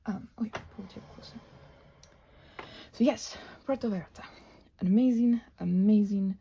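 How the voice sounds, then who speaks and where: A woman chatting in a rambling ASMR craft-video style, thinking aloud in a scattered way, with a breathy voice that often slips into a whisper. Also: mid-range voice, normal speed, warm tone. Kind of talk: rambling ASMR craft chat while painting.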